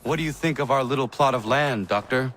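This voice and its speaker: A man playing a solemn, earnest young leader in a video game, speaking in a stiff, formal, measured way. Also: medium pitch, fairly bright tone, a little hiss or hum, natural voice. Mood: polite, sincere. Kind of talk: video game dramatic acting.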